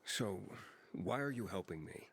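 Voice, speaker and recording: Low-voiced determined young wanderer. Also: male voice, expressive, measured pace, fairly bright tone, slight background noise. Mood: questioning. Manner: terse, questioning, understated. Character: young male wandering pilgrim, determined, guarded newcomer. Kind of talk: video game cutscene acting. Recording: game music behind the voice.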